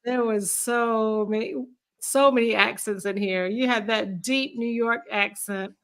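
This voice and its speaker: A woman's voice: fairly high pitch, fairly even intonation, a little slow, a bit bright, no echo. A woman from the US Eastern Shore chatting casually as a podcast co-host, blunt and colloquial, swearing freely. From Eastern Shore, United States. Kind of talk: casual group chat.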